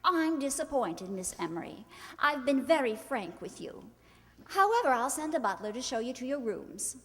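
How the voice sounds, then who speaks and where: Woman acting a haughty society bride, swooping high voice. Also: big pitch swings, moderate pace, a bit bright. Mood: prying, imperious. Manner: grand, affected, gushing. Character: haughty, sharp-tongued society bride playing gushing hostess. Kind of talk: radio play acting of a 1940s screwball comedy. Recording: reverberant hall stage recording.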